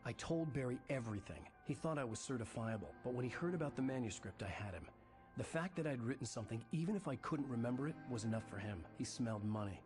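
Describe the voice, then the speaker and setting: An actor playing a troubled novelist in his thirties who narrates in a low, clipped, brooding noir manner in a video game. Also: lively intonation, fast talker, close to an everyday voice. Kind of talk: noir narration and cutscene dialogue. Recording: game audio with music under it.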